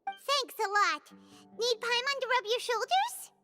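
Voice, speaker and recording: A woman voicing a small, chatty, childlike fairy companion in a video game, with a squeaky, cutesy delivery. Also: animated, unhurried. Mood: cheerful teasing. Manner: refers to self in third person, cutesy. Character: small chatty excitable fairy companion girl, cheerful and fretful. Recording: music behind the voice.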